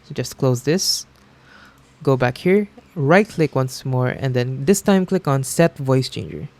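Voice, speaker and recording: A man hosts a how-to tutorial in an everyday, mid-range voice. He talks casually, guiding the listener through software steps one at a time. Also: medium pitch, animated, measured pace. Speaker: tutorial host.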